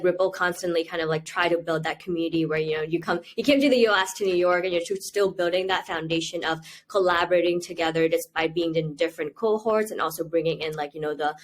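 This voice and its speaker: Young woman from thailand chatting in an interview, fairly low voice, earnest, lots of "like" and "you know". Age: 20s. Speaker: interviewee, university student. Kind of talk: casual video-call interview.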